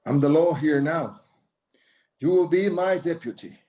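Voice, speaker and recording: A man's voice: a little high, unhurried, warm tone, close to an everyday voice. Commanding old vigilante lawman, acted by a man. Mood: confident. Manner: commanding, terse, switches into Spanish. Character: stern old self-appointed vigilante lawman, gentlemanly. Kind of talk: screenplay table read acting.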